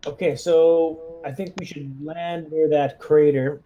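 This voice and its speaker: A man's voice: fairly high pitch, steady tone, slow, muffled recording, a little hiss or hum. A man chatting casually as a player in a tabletop roleplaying game, organising the squad.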